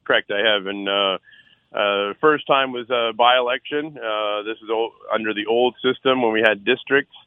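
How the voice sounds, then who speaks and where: A middle-aged man from Six Nations in Canada calls into a radio show as a council candidate. His voice sits low and comes through a thin, phone-like line with no room around it. He talks earnestly and loudly, filling gaps with uh and circling back on himself. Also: normal speed, dry recording. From Six Nations, Ontario, Canada. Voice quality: loud voice. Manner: frequent uh fillers and restarts, earnest. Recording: dry tinny phone line.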